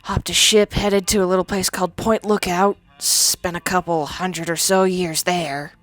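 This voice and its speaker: A woman's voice: on the low side, steady tone, unhurried, fairly bright tone, no room sound, soft background music. A woman voicing a sardonic, crude young female ghoul, a former psychology student, in a game dialogue. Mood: wry. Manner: blunt, crude and defensive. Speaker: companion character. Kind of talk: fan-voiced game dialogue.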